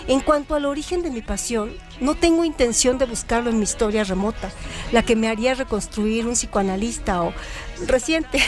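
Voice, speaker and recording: Woman, literary narrator, high voice, measured and articulate reading, clear background music. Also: darkish tone. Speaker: narrator, writer and director of an audio story show.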